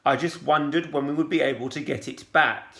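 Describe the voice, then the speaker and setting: A man voicing an irritated teenage girl who speaks in a clipped, strained calm. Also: on the high side, brisk, vocal fry. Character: irritable teenage girl holding her temper. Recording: roomy echo.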